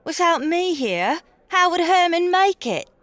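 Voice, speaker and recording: A woman's voice: extremely high pitch, big pitch swings, unhurried, a bit bright, dry recording, heavily acted. A woman playing a meek but stubborn young peasant woman in an audiobook, hesitant and pleading. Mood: anxious protest. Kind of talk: audiobook character dialogue.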